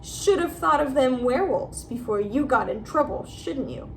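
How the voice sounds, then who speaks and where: A woman's voice: sits high, expressive, normal speed, slight background noise. A woman voicing a nasty, gleeful old male school caretaker in a storybook. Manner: leering, menacing.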